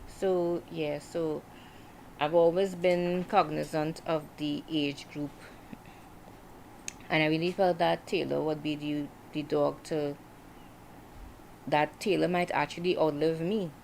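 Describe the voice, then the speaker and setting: A woman in her forties talking casually on a livestream, reflective and hesitant, with many pauses and fillers. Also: sits low, fairly even intonation, gravelly, a little mellow, slight background noise, rough recording. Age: 40s. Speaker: writer hosting a livestream.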